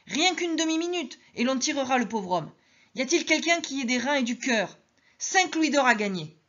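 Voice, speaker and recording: A woman's voice: high-pitched, lively intonation, normal speed, fairly bright tone, pitched above the performer's own voice. A woman voicing an urgent, generous man pressing a crowd to help, on a dull lo-fi recording. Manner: pressing, raising his offer.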